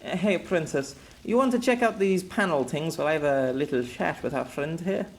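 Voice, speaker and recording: A man voicing a gruff male soldier commander who barks orders and makes sardonic quips. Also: on the high side, lively intonation, a little fast, pitched above the performer's own voice. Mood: wry.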